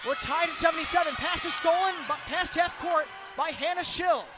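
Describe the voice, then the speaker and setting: A very high-voiced man calls a basketball game live on radio. He projects excitedly in clipped, rapid phrases, set in loud arena noise with a crowd behind him. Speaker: play-by-play commentator. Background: crowd noise and bouncing basketball.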